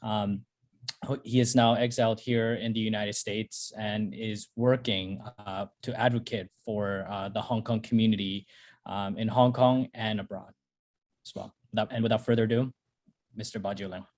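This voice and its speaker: A man's voice: lowish voice, steady tone, moderate pace, a little mellow. A man moderating a panel, introducing speakers hesitantly with many fillers.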